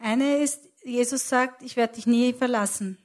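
Very high-voiced woman interpreting a sermon. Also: fairly even intonation, unhurried, a little mellow. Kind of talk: live consecutive interpreting of a sermon.

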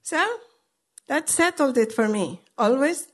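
High-pitched woman preaching, non-native English. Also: expressive, slow. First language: not English. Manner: emphatic, repetitive, short simple phrases. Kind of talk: sermon with pauses for an interpreter.

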